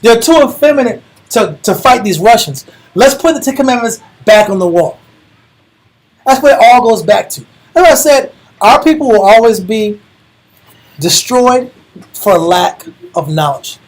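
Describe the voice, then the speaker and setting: Man, American accent, husky voice, informal sermon, emphatic, repetitive phrasing, street-casual wording. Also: sits high, animated, unhurried, rough recording. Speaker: religious teacher.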